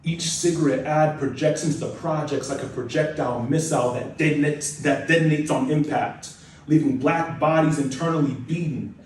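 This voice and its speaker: Echoey, distant American man reciting spoken word. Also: on the high side. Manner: dramatic, deliberate phrasing with emphatic repetition. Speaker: spoken word poet, nursing student. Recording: echoey room, distant mic, faint noise.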